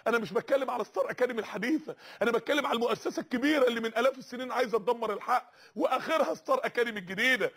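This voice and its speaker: A man's voice: very high-pitched, rapid-fire, few pauses, a bit bright. A man preaching an impassioned sermon with an Egyptian accent, urgent and repetitive, calling out to his listeners. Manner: rhetorical, repetitive, urgent calls to the audience.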